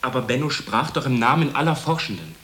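A man's voice: medium pitch, very animated, fairly quick, a bit bright, slight background noise. A young male actor playing an earnest, indignant novice monk in a radio drama. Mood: indignant, disappointed.

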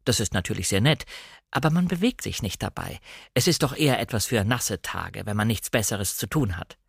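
Guy reading as a bossy posh country woman, outspoken and brisk, audiobook drama, dry sound. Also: fairly low pitch, expressive, vocal fry, slightly rough, no echo, full character voice. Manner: outspoken, chiding. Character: brisk, blunt, bossy upper-class sister, horsey country woman.

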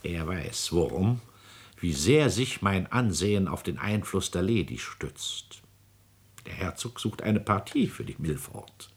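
Husky, imperious scheming nobleman. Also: low-pitched, lively intonation, slow, heavily acted. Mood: calculating. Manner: imperious, calculating, declamatory. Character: scheming, domineering aristocratic father and court minister. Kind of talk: classical German radio drama acting.

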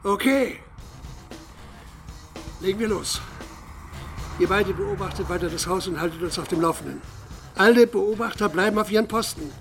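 An actor playing a controlled, authoritative police squad commander giving terse orders in a radio crime drama. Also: male voice, high-pitched.

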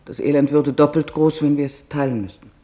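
A man's voice: fairly high pitch, steady tone, brisk, mellow, pitched above the performer's own voice, natural voice. A man reading the part of an anguished woman survivor who earnestly and vividly recounts her memories in an audiobook. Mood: urgent remembering. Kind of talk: audiobook testimony narration.

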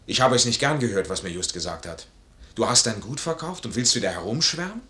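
Casting: honorable, stubborn major, bright forceful tone, lowish pitch, formal stage delivery, vintage radio drama. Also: male voice, big pitch swings, brisk. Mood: stern, proud. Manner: formal, emphatic stage diction. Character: proud, honorable, troubled army major refusing charity. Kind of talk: 1950s German radio play acting.

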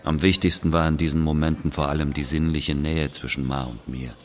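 A man playing a brooding figure who recalls his murdered brother in a measured, solemn literary monologue. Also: very deep voice, flat delivery. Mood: reflective.

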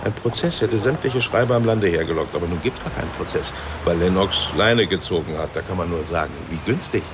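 A man's voice: low-pitched, brisk, lightly acted. An actor playing an inquisitive, insinuating male newspaper crime reporter in a radio drama, with a knowing manner. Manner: knowing, suggestive. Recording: city traffic noise behind.

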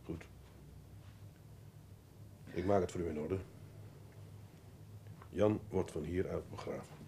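Radio play detective, male: lowish voice, grave and measured, blunt yet decent and courteous. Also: creaky, mellow, a little hiss or hum, full character voice. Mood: grave, firm. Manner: measured, polite, hesitant before bad news. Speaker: actor in a crime radio drama. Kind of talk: Dutch radio play acting.

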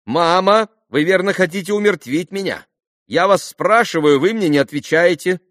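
A man voicing an imperious, excitable teenage girl with a thin little voice and demanding exclamations, in an audiobook novel. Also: high voice, a little slow, no room sound, clean studio sound, pitched above the performer's own voice. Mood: indignant, alarmed. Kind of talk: audiobook classic novel dialogue.

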